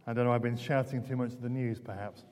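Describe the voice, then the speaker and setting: British preacher with failing voice, reverberant church. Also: male voice, medium pitch, fairly even intonation, fast talker, noisy background. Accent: British English. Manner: hesitant, self-correcting, repeats words. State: losing his voice, throat clearing. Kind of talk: sermon, conversational and explanatory. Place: large indoor church, long reverb.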